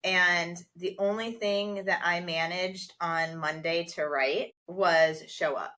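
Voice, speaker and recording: Chatty woman host, low voice. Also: steady tone, slow, pushed and bright. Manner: earnest, chatty, lots of "like" fillers. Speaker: podcast host. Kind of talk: casual interview chat.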